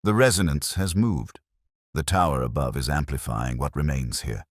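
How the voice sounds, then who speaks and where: A man voicing a brilliant, grave detective in an audio story, deliberate, incisive and coolly analytical. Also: very deep voice, dry recording, clean studio sound. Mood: resolute.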